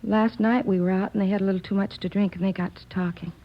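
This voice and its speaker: A woman's voice: mid-range voice, fairly even intonation, fast, natural voice. An American woman playing a guarded, earnest young office worker under police questioning in a 1950s radio drama, polite and plain-spoken. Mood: anxious, confiding. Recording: dull, muffled old radio recording.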